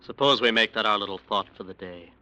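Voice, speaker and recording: A defensive lawyer. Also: male voice, lowish voice, fast talker, bright, forceful tone. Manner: defensive, smooth-talking. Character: defensive, self-justifying male lawyer. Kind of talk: 1940s radio drama acting.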